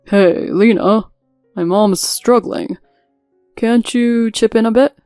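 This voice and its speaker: A woman's voice: a little high, takes it slowly, darkish tone, no room sound, studio quality, soft background music. A woman voicing a demanding, accusatory husband in scripted story dialogue. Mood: nagging. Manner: scolding, accusatory. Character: demanding, whiny husband siding with his mother.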